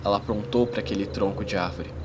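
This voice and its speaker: A man's voice: on the low side, fairly even intonation, fast talker, heavy background noise, close to an everyday voice. A Brazilian man playing a teenage schoolboy who narrates an uneasy fantasy adventure in a dramatized audiobook. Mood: narrating. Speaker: first-person narrator.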